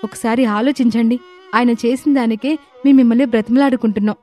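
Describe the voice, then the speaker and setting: A woman's voice: fairly high pitch, fairly even intonation, fast talker, warm tone, faint music behind, close to an everyday voice. A woman playing a distressed young village wife, speaking in a plaintive, reproachful manner.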